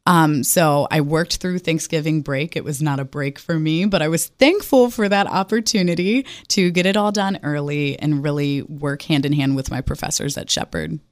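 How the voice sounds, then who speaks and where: A woman's voice: low-pitched, moderate pace, few pauses, no room sound, clean studio sound. A young woman giving a chatty radio interview as a guest, with an upbeat, enthusiastic manner. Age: young adult. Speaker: pageant titleholder guest.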